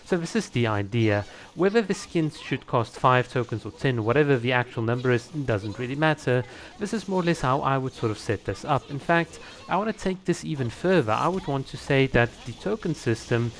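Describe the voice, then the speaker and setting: Man giving casual gaming opinion commentary. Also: mid-range voice. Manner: explanatory, lays out ideas with examples.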